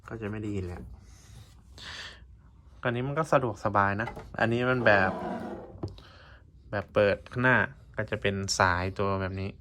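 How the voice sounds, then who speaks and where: A man's voice: fairly low pitch, moderate pace, pauses often, sharp tone, slight background noise. A man giving a casual hands-on tutorial, explaining informally step by step in short phrases. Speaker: vlogger giving a product tutorial.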